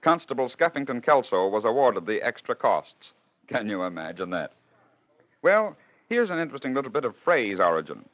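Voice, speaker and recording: An American man with a mid-pitched voice narrates as a 1940s radio host. His delivery is theatrical and wry, heard through a dull, lo-fi old broadcast recording. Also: sing-song, moderate pace.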